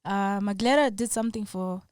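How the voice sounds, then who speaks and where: A woman's voice: mid-range voice, normal speed, clean tone, no room sound, clean studio sound. A young woman, chatting casually as a guest on a podcast, easygoing and full of fillers. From South Africa. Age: young adult. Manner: relaxed, trailing, filler-heavy. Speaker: guest musician.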